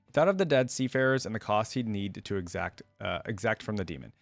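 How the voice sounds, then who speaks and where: Low-voiced man, dry close sound. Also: animated, fast talker, gravelly, no room sound, soft background music. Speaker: reaction video host reading lore aloud. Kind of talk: casual commentary mixed with reading story text aloud.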